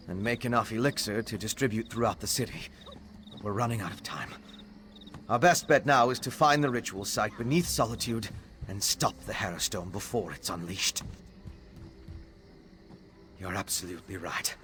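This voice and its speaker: An actor playing an anxious, bookish junior member of a noble house in a video game, hesitant, wry and understated. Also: male voice, mid-range voice, fairly bright tone. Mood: nervous. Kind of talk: video game quest dialogue. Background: prominent background music.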